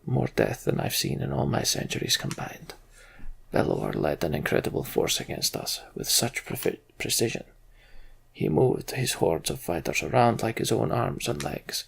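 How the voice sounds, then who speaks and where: Man voicing a gravelly, brooding old giant warrior. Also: mid-range voice, huge pitch swings, normal speed, full character voice. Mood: haunted, grave. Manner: brooding, bitter, blunt. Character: grim, scowling, centuries-old giant warrior, a battle survivor. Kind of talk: voiced fantasy game dialogue.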